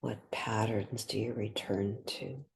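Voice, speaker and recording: Slow, breathy woman guiding a meditation. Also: a bass voice, steady tone. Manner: gentle, unhurried, with long pauses between phrases. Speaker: meditation guide. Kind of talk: guided meditation.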